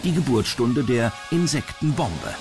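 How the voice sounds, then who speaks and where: Male documentary narrator, medium pitch, clear music bed. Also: animated, measured pace. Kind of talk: scripted narration. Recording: music under the voice. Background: background music.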